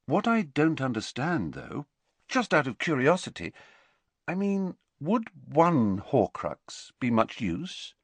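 A man's voice: mid-range voice, wildly swooping pitch, slow, slightly rough, no room sound, voice pushed up, full character voice. A man voicing a polite, eager and cunning teenage wizard in an audiobook, deferential yet probing. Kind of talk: audiobook character voice.

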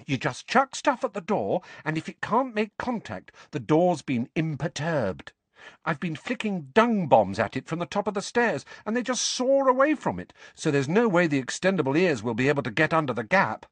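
A man voicing a brisk, matter-of-fact teenage girl in an audiobook. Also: fairly high pitch, sing-song, fairly bright tone, dry recording, voice pushed up.

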